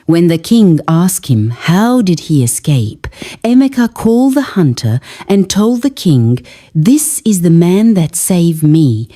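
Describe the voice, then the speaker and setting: A woman storyteller reads a scripted folktale with a mellow tone. Her delivery is unhurried and measured, with lively rises and falls that give it a dramatic shape. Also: lowish voice. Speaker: storyteller, narrator.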